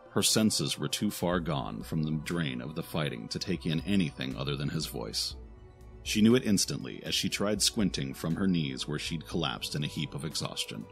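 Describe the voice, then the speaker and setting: Deep gravelly man narrating an audio drama. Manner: dramatic, solemn storytelling.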